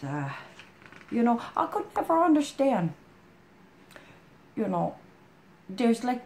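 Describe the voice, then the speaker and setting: Brief: hoarse woman with a gravelly voice and lively pitch, rambling and folksy, faint hiss behind. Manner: rambling, folksy, full of "you know". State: hoarse from a chest cold. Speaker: storytime vlogger. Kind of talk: casual heartfelt chat to a friend.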